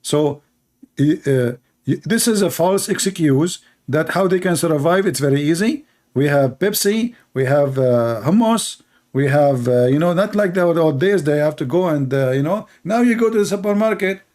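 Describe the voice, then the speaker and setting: Man, higher voice, sarcastic, mocking rant, broken english, lots of fillers. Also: expressive, moderate pace, a little mellow.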